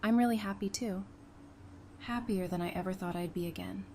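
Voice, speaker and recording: A woman acting an affectionate, gently teasing girlfriend in an audio drama, in a casual conversational manner. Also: medium pitch, a little fast, close to an everyday voice. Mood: tender, warm. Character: affectionate, teasing girlfriend in a post-apocalyptic romance. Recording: noticeable background noise.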